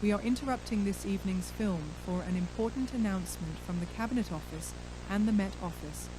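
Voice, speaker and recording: A woman reads a scripted emergency broadcast announcement in a formal, measured manner. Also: mid-range voice, background noise.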